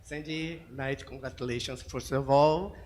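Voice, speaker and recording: A man's voice: mid-range voice, steady tone, moderate pace, a little mellow, slight background noise. A male reporter whose first language is Portuguese, asking questions in hesitant, apologetic English. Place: press conference room.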